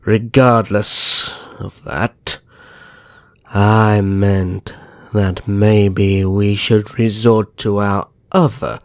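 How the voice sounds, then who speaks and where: Man playing a slow, haughty vampire naga. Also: lowish voice, flat delivery, no room sound. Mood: calculating menace. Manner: measured, formal, disdainful, theatrical. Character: haughty, calculating male vampire naga brother, kidnapper. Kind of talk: ASMR roleplay acting.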